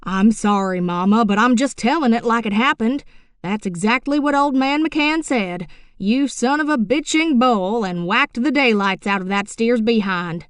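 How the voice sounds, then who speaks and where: A woman's voice: on the high side, animated, moderate pace, clean tone, no echo, clean studio sound, voice pushed up, lightly acted. A woman narrating an audiobook, voicing a middle-aged rural man telling a tall tale in a drawling, folksy way. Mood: yarn-spinning. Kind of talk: audiobook character reading.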